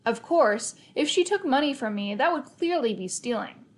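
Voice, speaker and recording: A woman's voice: high-pitched, expressive, moderate pace. A woman narrating a children's novel aloud in the first person, expressively, with emphasis on key words. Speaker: storybook reader.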